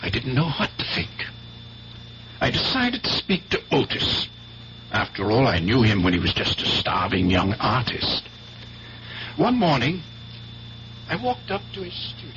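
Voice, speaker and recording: An actor playing an earnest, blunt doctor, grave and emphatic, in a 1970s radio drama. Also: male voice, medium pitch, huge pitch swings, a bit bright, a little hiss or hum. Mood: troubled recollection. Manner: grave, emphatic, deliberate phrasing. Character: earnest, worried doctor, a man, blunt and insistent. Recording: dull, hummy old radio recording.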